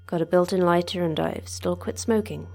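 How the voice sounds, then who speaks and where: An actress playing the terse, deadpan manager of a secret research facility in an audio drama. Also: female voice, on the low side, normal speed, creaky, faint music behind. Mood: brisk, curt. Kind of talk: audio fiction acting.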